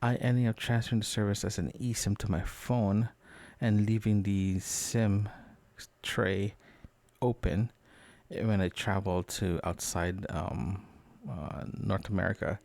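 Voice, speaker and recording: A man recording a casual, unscripted audio diary as a podcaster, talking in a rambling, halting way with trailing asides. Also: fairly low pitch, fairly even intonation, creaky, a little mellow, dry recording.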